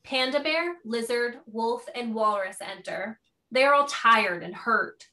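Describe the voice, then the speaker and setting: A woman's voice: on the high side, measured pace, pushed and bright, rough recording. A woman narrator reading stage directions as scripted narration.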